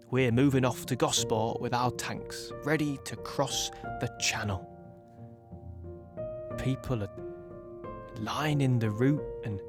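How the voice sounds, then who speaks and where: An actor playing a young British tank gunner in an audio play monologue, plain-spoken and reflective, in short halting phrases. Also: male voice, medium pitch, lightly acted. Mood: wistful, expectant.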